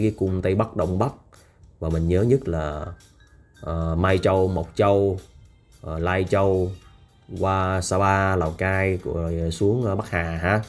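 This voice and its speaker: A man's voice: low voice, a little mellow. A man chatting casually as a food and travel vlogger while riding through city streets at night, hesitant with many fillers. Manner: chatty, hesitant with frequent fillers, lists places. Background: street music.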